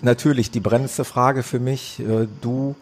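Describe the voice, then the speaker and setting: A man's voice: medium pitch, steady tone, measured pace. A man hosting a podcast, interviewing guests in an enthusiastic, affirming way. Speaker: podcast host. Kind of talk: casual interview.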